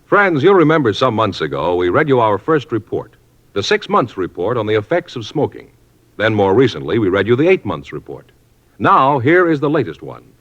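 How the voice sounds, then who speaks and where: A man's voice: fairly low pitch, sing-song, moderate pace, fairly bright tone. A man reading a radio cigarette commercial in an earnest, persuasive manner. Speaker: commercial announcer. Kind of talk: 1950s radio ad read. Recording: dull old radio recording.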